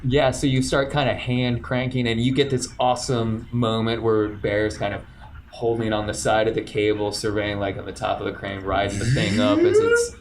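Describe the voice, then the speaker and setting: A man narrating a tabletop game as game master, rambling and relaxed with many fillers. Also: medium pitch, normal speed, a little hiss or hum.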